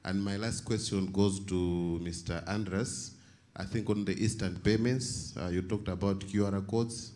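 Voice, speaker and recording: Man, deep pitch, panel questioner, unscripted formal delivery, halting phrasing. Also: fairly even intonation, dark tone. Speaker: panel participant asking questions.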